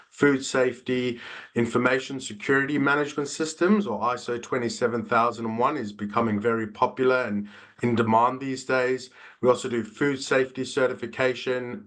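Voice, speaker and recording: A man with a South African accent hosting a business webinar over a video call, talking in a measured way with frequent fillers. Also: mid-range voice, a bit bright. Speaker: webinar host. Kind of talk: corporate webinar presentation.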